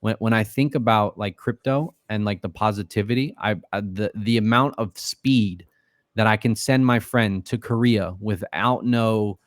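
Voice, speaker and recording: A man's voice: on the low side, normal speed, no echo, studio quality. A man talking as a podcast guest in loose, casual speech, full of fillers and swearing. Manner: loose and profane, many fillers. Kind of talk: casual interview talk.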